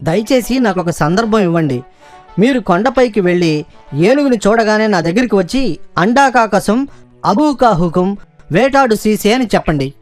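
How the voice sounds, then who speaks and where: A man's voice: sits high, animated, moderate pace, soft background music. A man voicing an eager, boastful, scheming jackal who pleads his way through a children's cartoon fable. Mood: pleading. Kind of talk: children's cartoon story acting.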